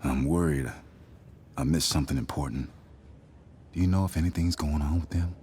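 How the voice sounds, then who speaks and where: A man playing an earnest, worried middle-aged working father in a video game, speaking in a gentle, folksy, halting way. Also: extremely low pitch, slight background noise. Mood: concerned. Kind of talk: naturalistic video game voice acting.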